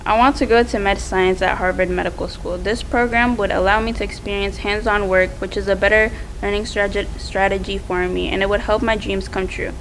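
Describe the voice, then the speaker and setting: A woman's voice: medium pitch, steady tone, fairly quick, few pauses, slight background noise, rough recording. A teenage young woman reading a prepared personal speech as a student presenter. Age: teens.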